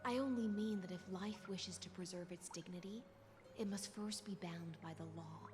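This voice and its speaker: An actress voicing a languid, menacing sea-born noblewoman in a video game, soft and unhurried, teasing and coolly philosophical. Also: on the low side, close to an everyday voice. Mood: cold. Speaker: game character.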